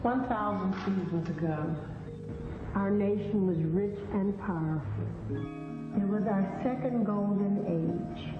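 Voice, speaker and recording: A woman's voice: mid-range voice, natural voice. An actress playing an elderly, dignified and sorrowful royal Egyptian grandmother in a solemn historical drama. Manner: solemn, stately, deliberate. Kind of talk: stage-style historical dramatization. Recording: echoey reverberant hall, dull sound, loud music.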